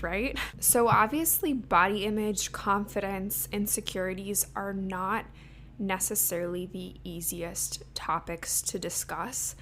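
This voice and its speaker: Young woman podcast host, slightly raspy, chatty and candid, medium pitch, faint hum behind. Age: 20s. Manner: chatty and open, many "like" fillers. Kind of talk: casual solo podcast talk.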